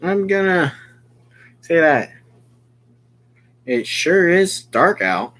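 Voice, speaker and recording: Slow, wildly swinging game streamer voice. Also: mid-range voice, sing-song, fairly bright tone. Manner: exclamatory, swearing, repeating phrases, halting. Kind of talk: live visual novel playthrough commentary, reading lines aloud.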